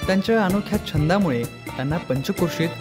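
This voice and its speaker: Higher-voiced male narrator over music. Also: lively intonation, brisk. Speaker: narrator of a feature report. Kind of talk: scripted narration.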